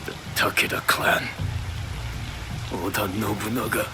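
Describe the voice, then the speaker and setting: Man acting a slow, proud samurai archer. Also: lowish voice, steady tone, over music, close to an everyday voice. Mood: bitter, grave. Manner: grave, deliberate, formal. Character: proud, bitter samurai master archer, unyielding and loyal. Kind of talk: dramatic game cutscene acting. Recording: noisy rain-like ambience behind.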